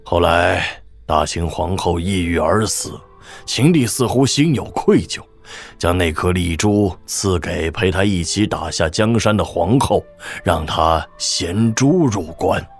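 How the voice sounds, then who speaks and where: An actor playing a shrewd old military governor, husky, measured and wry, in a wuxia audio drama. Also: male voice, low voice, lively intonation, soft background music, exaggerated voice. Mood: reflective storytelling. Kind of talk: Chinese wuxia radio drama acting.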